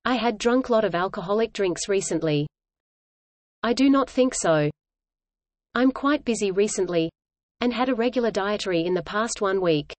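A woman reading an English lesson dialogue aloud in a measured, even way, repeating each line for learners. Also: medium pitch, dry recording.

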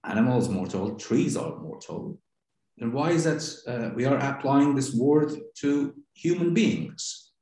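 A man with a Persian accent, a native Farsi speaker, giving a philosophy seminar lecture in a deliberate, halting way with frequent pauses. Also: mid-range voice, lively intonation, dark tone. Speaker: seminar instructor. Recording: very echoey room.

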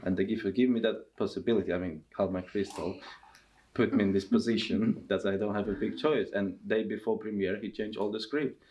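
A man in his thirties with a Russian accent, talking casually in halting English during a seated interview in a costume workshop. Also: lowish voice, fairly even intonation, darkish tone, muffled recording, slight background noise. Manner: halting non-native English with restarts. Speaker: circus performer and tent master.

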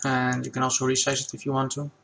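A man whose first language is Dutch, talking through a software tutorial at his computer in a relaxed, plain step-by-step way. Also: mid-range voice, fast, gravelly, a little hiss or hum. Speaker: game asset creator.

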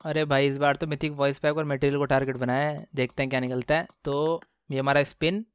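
A man giving casual, excited gameplay commentary, slangy and exclamatory, repeating phrases for emphasis. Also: medium pitch, a little fast, smooth, no room sound. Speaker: gaming channel host.

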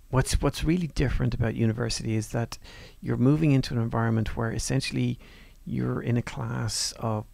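A man giving a university course presentation over slides in a relaxed, conversational way, with fillers and restarts. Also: medium pitch, vocal fry, no echo. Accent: non-Northern Irish.

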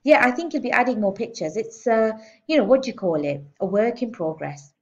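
A woman voicing a brash, scheming middle-aged male boss who talks loud and boastful like a salesman. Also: on the high side, very animated, a little fast, highs cut off, pitched above the performer's own voice. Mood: salesy.

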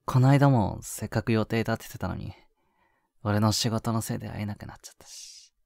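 A man voicing an affectionate, clingy young boyfriend in an intimate audio drama, murmuring softly to the listener with breathy chuckles. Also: fairly low pitch, a little fast, no room sound, clean studio sound. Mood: wistful, tender. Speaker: voice actor.

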